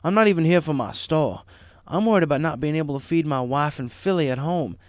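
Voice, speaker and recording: A man's voice: on the high side, brisk, no room sound, pitched above the performer's own voice, natural voice. Man playing a meek, hesitant stallion shopkeeper. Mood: pleading. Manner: hesitant, stammering, pleading. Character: meek, anxious adult stallion shopkeeper pony, a worried father.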